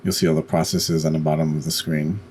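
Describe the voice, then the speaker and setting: Male tutorial narrator, deep register, halting step-by-step instruction, noisy home recording. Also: little pitch movement, a little mellow. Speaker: tutorial host.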